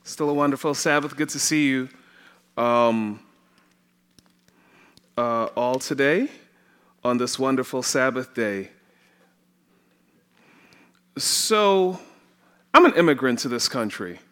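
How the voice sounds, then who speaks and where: A Trinidadian man preaching in a church, telling stories from his own life at an unhurried pace. His voice is bright and forward, and he laughs often as he talks. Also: medium pitch, expressive, clean tone. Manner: slow, storytelling, often laughing. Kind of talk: sermon with personal stories. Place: church sanctuary.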